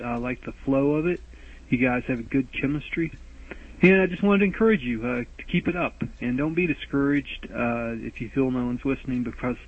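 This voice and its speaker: A man's voice: medium pitch, breathy edge. A man leaving a rambling voicemail for podcast hosts, talking in circles, restarting, and fretting about his lisp. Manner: rambling, self-interrupting, anxious about a lisp. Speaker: caller leaving a voice message. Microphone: phone voicemail recorder. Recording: tinny phone-line voice message.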